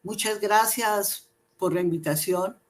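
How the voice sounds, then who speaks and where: Slow, hesitant woman lecturing. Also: medium pitch. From Colombia. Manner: slow and measured, with frequent hesitations and repeated words. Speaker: invited professor and researcher. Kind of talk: academic seminar presentation. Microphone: video call.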